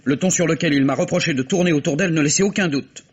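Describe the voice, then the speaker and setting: Higher-voiced, earnest male witness. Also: quick pace, breathy edge. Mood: earnest, insistent. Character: earnest, obliging male office employee, a jealous rival's witness. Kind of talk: vintage French radio mystery acting. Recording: dull old radio-play recording.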